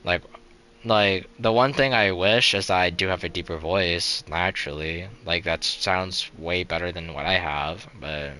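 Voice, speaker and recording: A man's voice: deep voice, measured pace, pushed and bright. A young man streaming a game live, chatting casually in a rambling, resigned way, full of "like" and "you know" and restarted sentences.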